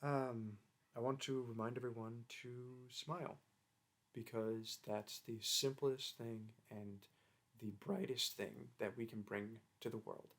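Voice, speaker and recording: Male creator signing off to his audience, medium pitch, unpolished and self-effacing, loose conversational delivery. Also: steady tone, a little slow, a bit bright. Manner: modest, hesitant, informal. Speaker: voice actor, channel creator. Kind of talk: casual rambling outro to listeners.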